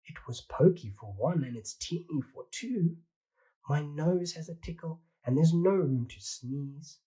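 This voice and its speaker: A fussy little old woman from a picture book, put on by a reader, pleading and exclaiming over her cramped home. Her husky voice climbs high and swings widely, drawn out slowly on each refrain. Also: lively intonation, mellow. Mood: fretful, pleading. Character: fretful little old woman, crowded house.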